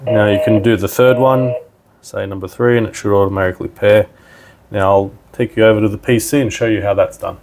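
A man's voice: on the low side, steady tone, brisk, darkish tone, slight background noise. A man narrating a hands-on product tutorial in an informal, step-by-step way. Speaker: product demonstrator.

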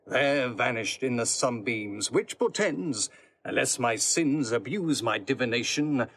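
An actor playing a solemn Roman soothsayer in a Shakespearean audio drama, speaking prophetic verse in a portentous, deliberate manner. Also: male voice, medium pitch, expressive, a bit bright, breathy edge, no echo, full character voice.

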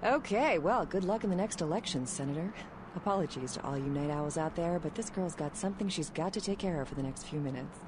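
A woman's voice: low voice, expressive, quick pace, background noise. A woman voicing a wry, flirty late-night radio hostess, sardonic and teasing.

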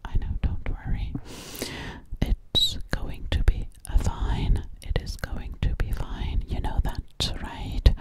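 A woman playing a sweet, slightly sassy alien girl in an ASMR roleplay, whispering breathily close to the microphone and repeating her words soothingly. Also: lightly acted. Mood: comforting. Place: dim living room indoors. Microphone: close-up at a large ASMR microphone.

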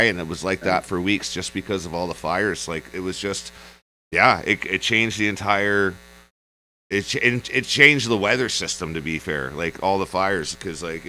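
Bright-voiced, low-pitched man, chatting bluntly. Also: normal speed, rough recording. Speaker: podcast co-host. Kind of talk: casual, off-the-cuff chat.

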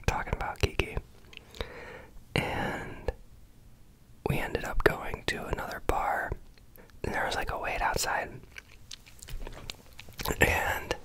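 An ASMR host whispering close to the mic in a soft, meandering chat full of asides. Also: rough recording. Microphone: close microphone.

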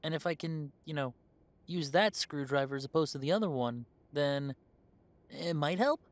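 A man's voice: on the high side, normal speed, close to an everyday voice. A man playing an easygoing, confident young werewolf in a roleplay audio drama, talking in a chatty, hesitant, conversational way. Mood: casual, preoccupied. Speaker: voice actor.

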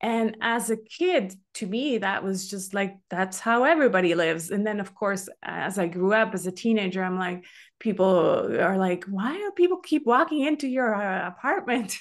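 A middle-aged woman from Switzerland, a guest telling her story in a casual conversation on a video call, from a room at home. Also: mid-range voice. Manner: chatty, open storytelling with frequent fillers. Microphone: webcam on a video call.

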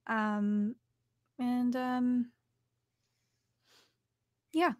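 A woman's voice: fairly high pitch, fairly even intonation, extremely slow, no echo, studio quality. A young adult woman, talking casually and reflectively as an interview guest, thinking aloud as she goes. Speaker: interview guest, audiobook narrator and voice actor. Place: home studio, indoors.

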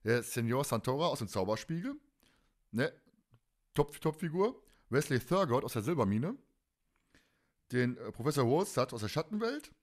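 A man's voice: medium pitch, sing-song, normal speed, pauses often. A man co-hosting a fan podcast, chatting casually in a colloquial, joking way. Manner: colloquial, jokey. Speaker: podcast co-host.

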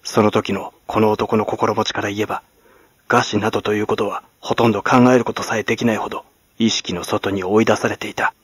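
A man from Kagoshima, Japan, narrating classic literature as a dramatic audiobook in a grave, measured manner. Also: lowish voice, little pitch movement, slightly rough, dry recording. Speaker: actor, narrator. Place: at home, close and dry.

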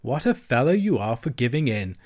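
A man voicing a cheerful, bustling male water rat who talks in a brisk, hearty, encouraging way. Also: mid-range voice, sing-song, a little mellow. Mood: reproachful.

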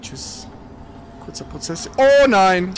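A man's voice: high-pitched, sing-song, slow pace, a little hiss or hum. A man doing casual live gameplay commentary with a co-player, exclaiming, grumbling and swearing as he goes. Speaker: gaming streamer playing and commenting.

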